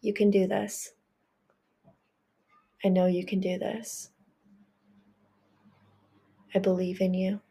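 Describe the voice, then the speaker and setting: A woman leading a guided healing meditation, speaking in a gentle, reassuring and affirming way. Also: mid-range voice, level tone, slow pace, creaky, long pauses, slightly rough.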